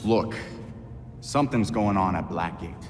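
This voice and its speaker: Game vigilante: young man, gruff and blunt, very low pitch, dry sarcasm, long reverb. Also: animated, unhurried, noisy background. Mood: grim, dry. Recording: long reverb under music.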